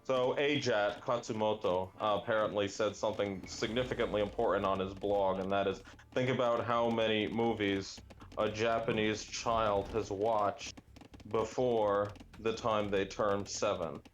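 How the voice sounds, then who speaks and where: A young adult man chatting casually while playing a game, musing aloud and often pausing with fillers and restarts. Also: lowish voice, steady tone, highs cut off, slight background noise. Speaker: language gaming guest. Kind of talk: casual chat while gaming.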